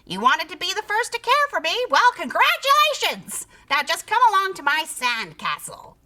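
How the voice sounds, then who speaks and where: A woman's voice: extremely high pitch, huge pitch swings, normal speed, pushed and bright, voice pushed up, exaggerated voice. A woman voicing a small, bossy female storybook creature with a cackling, imperious, sing-song delivery. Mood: bossy, gloating.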